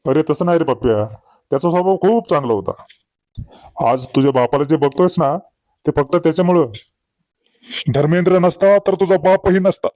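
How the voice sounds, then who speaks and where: Man voicing old village braggart, highish voice, earthy scolding talk, cartoon comedy, dull dry recording. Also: a little fast, pauses often, no echo, close to an everyday voice. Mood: earnest. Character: boastful old village man, grieving, exasperated, telling tall tales.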